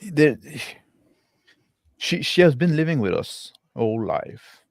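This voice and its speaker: A man improvising as a zealous, plain-spoken villager in a tabletop roleplaying game, informal and halting, often saying "like". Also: fairly high pitch, sing-song, no room sound, studio quality. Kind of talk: casual improvised roleplay and narration.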